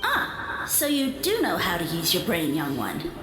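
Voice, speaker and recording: A woman's voice: lowish voice, wildly swooping pitch, unhurried, echoey room. A woman voicing a pompous, self-proclaimed sage lord of fungi who talks down to everyone with grand, condescending speech.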